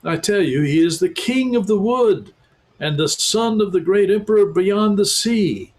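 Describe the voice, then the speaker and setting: A man reading aloud and voicing an excitable, earnest male beaver who talks emphatically. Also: high voice, measured pace, voice pushed up. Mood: eager.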